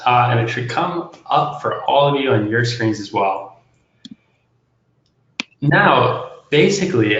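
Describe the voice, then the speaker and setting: A man's voice: medium pitch, a bit bright. A man speaking as a product manager in a webinar, upbeat and enthusiastic. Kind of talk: webinar presentation. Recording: echoey, muffled remote audio.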